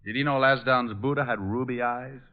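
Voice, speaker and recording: A man playing a cocky, sardonic private detective in an old-time radio drama, quick with quips. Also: medium pitch, close to an everyday voice. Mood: probing. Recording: thin, phone-like vintage radio recording.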